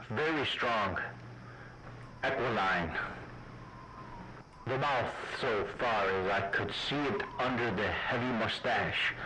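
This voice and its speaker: A man playing an uneasy traveller who narrates his captivity in a radio drama, with deliberate, suspenseful phrasing. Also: mid-range voice, lightly acted, poor audio. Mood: wary.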